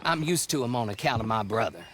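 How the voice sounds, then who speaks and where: An actor playing a chatty, eccentric horse thief in a Western video game, with a folksy Southern American accent and a rambling, jovial manner. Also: male voice, mid-range voice, lively intonation, quick pace, a bit bright, slight background noise, close to an everyday voice. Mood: cheerful, chatty. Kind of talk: video game cutscene acting.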